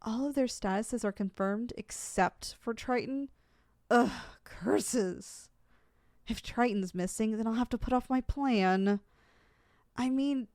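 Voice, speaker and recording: A woman's voice: on the high side, a little mellow, no echo, studio quality. A woman playing a proud but easily flustered, lovesick young supervillain in an affectionate, dramatic ASMR roleplay. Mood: curious, concerned, then annoyed, pondering.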